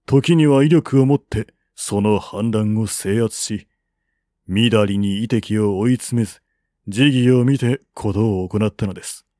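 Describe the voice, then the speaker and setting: A man reading the part of a cautious army general who gives his lord grave, formal, deliberate counsel in an audiobook. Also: fairly low pitch, warm tone, no echo, studio quality, lightly acted.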